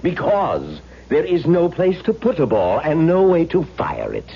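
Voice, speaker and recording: A man's voice: on the high side, wildly swooping pitch, slightly rough, exaggerated voice. Flustered man, swooping intonation. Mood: insistent. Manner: halting, stammering, repeats himself. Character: flustered, bewildered man, a film production's pistol provider. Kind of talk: 1970s radio drama acting. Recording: dull, noisy old radio recording.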